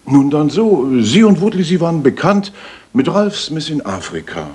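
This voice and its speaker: An actor playing a shrewd, self-assured Victorian master detective in a radio play, speaking in a probing, precise way. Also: male voice, a little high, very animated, moderate pace, darkish tone, husky.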